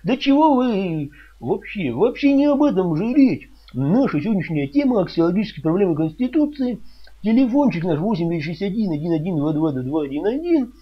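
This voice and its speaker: A man's voice: high-pitched, big pitch swings, fairly quick, breathy edge, heavily acted. Male comedy radio host: flustered, self-important, stuttering, swooping intonation, dull lo-fi sound. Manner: stammering, hesitant, fussy. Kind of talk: comedy radio sketch acting.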